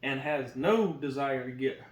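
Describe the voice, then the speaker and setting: A man's voice: medium pitch, a little slow, roomy sound. A man talking casually with his wife about faith and marriage, earnest and preachy, often repeating himself. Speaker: co-host, husband.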